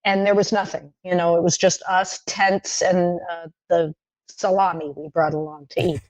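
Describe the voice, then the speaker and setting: A middle-aged woman whose first language is French, recalling her childhood in a podcast interview, talking in a thoughtful, halting way with many fillers and restarts. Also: lowish voice, little pitch movement, a little mellow, no room sound, poor audio. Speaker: playwright guest.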